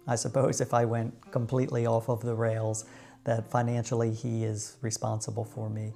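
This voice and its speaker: A middle-aged man with an American accent tells his personal story to viewers in a measured, reflective way with deliberate pauses. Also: medium pitch, gravelly, mellow. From United States. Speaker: vlogger.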